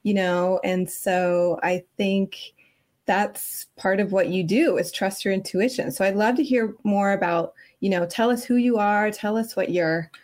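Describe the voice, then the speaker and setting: A woman's voice: medium pitch, steady tone, a little slow, a little mellow. A woman hosting a conversational interview, reflective and appreciative, feeling her way to the right words. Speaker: podcast host, interviewer.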